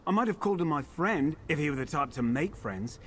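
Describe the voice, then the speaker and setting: A man's voice: fairly high pitch, lively intonation, a little fast, slight background noise, natural voice. A man voicing a nervous, ingratiating elf job broker in a fantasy video game, wheedling and anxious. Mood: wary.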